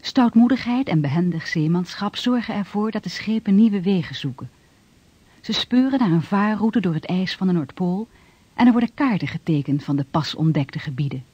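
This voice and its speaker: Mellow, slightly husky male radio narrator. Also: high-pitched, animated, moderate pace, no room sound. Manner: solemn, declamatory. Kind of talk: dramatic scripted narration of a historical radio play. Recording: dull vintage radio recording with music.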